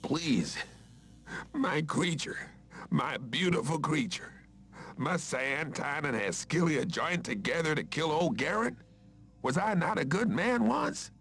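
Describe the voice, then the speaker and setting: An actor playing a bitter, defiant aging warlord villain in a video game, declaiming theatrically. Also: male voice, fairly high pitch, expressive, takes it slowly, a bit bright, husky, faint music behind, exaggerated voice. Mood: pleading. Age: older. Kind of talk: dramatic video game acting.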